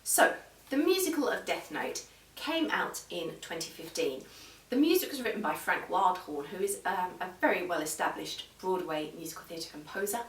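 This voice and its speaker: Lower-voiced woman reviewing, distant and echoey. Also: lively intonation, normal speed, a bit bright. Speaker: video reviewer. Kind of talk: informal review talk to viewers. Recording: roomy echo, distant microphone.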